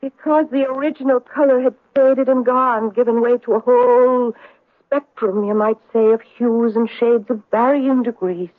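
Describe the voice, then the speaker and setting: A woman's voice: on the high side, fairly even intonation, moderate pace, dry recording, close to an everyday voice. Actress as feisty woman, lilting, indignant, theatrical old radio drama delivery, dry and narrow muffled vintage recording. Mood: wistful, coy. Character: feisty, evasive Irish-American woman reporting a theft.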